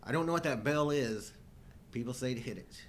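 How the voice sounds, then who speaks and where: A man's voice: mid-range voice, fairly quick, a little hiss or hum. A middle-aged man co-hosting a live show with casual, playful banter and wry joking.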